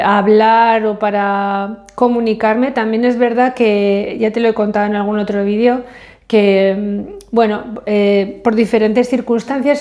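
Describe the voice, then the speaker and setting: A woman's voice: medium pitch, dark tone. A middle-aged woman, English her first language, talking to the camera in a casual personal monologue, hesitant and full of fillers and self-corrections. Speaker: vlogger.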